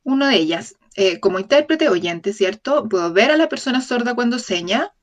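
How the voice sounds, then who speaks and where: A woman voicing a lecture for an interpreter, speaking in a measured way, often correcting herself mid-phrase and checking in with the audience. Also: a little high, expressive. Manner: measured, with frequent self-corrections and tag questions to the audience. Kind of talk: voiced-over lecture in an open talk series.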